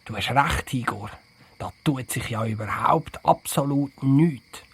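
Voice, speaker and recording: A man playing a boastful, excitable and jumpy police detective with a raspy, creaky voice and a Swiss German accent in a comic audio play. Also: medium pitch, measured pace. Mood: hushed, tense.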